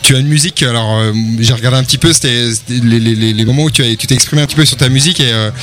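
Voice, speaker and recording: A man's voice: mid-range voice, very fast, fairly bright tone. A man hosting a radio interview, asking long, stumbling questions full of restarts. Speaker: radio host.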